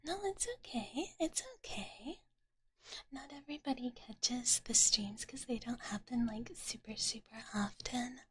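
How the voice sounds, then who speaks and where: A woman hosting a live ASMR stream, speaking to her chat in a soft, breathy, mostly unvoiced whisper with a gentle, reassuring manner. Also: on the high side, a little slow. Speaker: livestream ASMR host.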